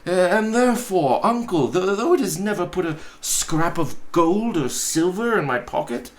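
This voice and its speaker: A man's voice: high-pitched, animated, normal speed, pitched above the performer's own voice. A man voicing a cheerful, earnest young nephew in a storybook reading, with a stammering, effusive manner. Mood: warm, hearty.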